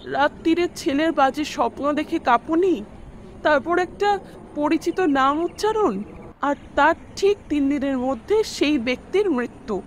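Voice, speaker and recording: Woman acting high-voiced, slow-speaking worried mother. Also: animated, a little hiss or hum. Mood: anxious, disturbed. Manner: earnest, slow, dramatic recounting. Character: worried mother recounting eerie deaths around her son. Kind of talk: Bengali audio story acting.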